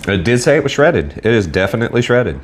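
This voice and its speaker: A man with a Southern American accent casually reviewing food on camera in a relaxed, rambling way. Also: medium pitch, fast, a little hiss or hum. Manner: laid-back, rambling, self-deprecating. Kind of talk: casual solo video review.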